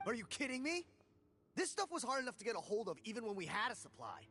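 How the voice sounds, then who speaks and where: A man's voice: very high voice, wildly swooping pitch, fast, sharp tone, soft background music, exaggerated voice. A man playing an indignant, argumentative, hot-tempered convict in a video game. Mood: exasperated. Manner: argumentative, exasperated. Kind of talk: video game dialogue acting.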